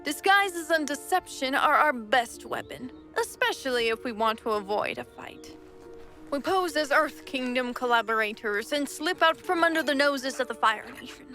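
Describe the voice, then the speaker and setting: A woman voices a proud river pirate captain in a fan cartoon dub, her voice high and bright. She gives orders with easy, confident command, with faint music behind her. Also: lively intonation, moderate pace, full character voice. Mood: scheming. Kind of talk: fan animated comic dub.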